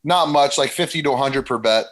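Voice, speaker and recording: A man's voice: medium pitch, fairly quick, fairly bright tone. A man chatting casually as co-host over a video call, often restarting his sentences. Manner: casual, repeats himself when starting sentences. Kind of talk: casual podcast chat.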